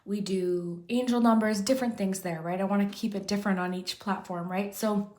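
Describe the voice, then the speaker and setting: A woman hosting a pick-a-card tarot reading, chatty and rambling, and a little hoarse from losing her voice. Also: medium pitch, steady tone, fairly quick, dark tone. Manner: chatty, rambling, frequent "kind of" and restarts. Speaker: tarot reader, channel host. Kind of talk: casual pick-a-card tarot chat to camera.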